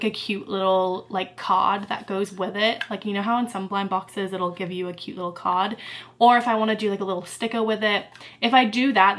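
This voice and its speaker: Chatty young woman, slightly croaky. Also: mid-range voice, a bit bright. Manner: chatty, filler-heavy, upbeat. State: slightly croaky, losing her voice. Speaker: small art business owner vlogging. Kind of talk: casual vlog narration.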